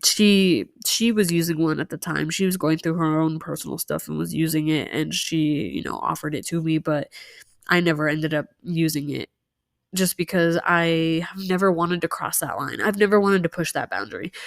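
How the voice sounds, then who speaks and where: Young woman, lowish voice, casual story time host, chatty with fillers, dry close recording. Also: dry recording. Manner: chatty, relaxed, many fillers and asides. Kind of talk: casual storytime while doing nails.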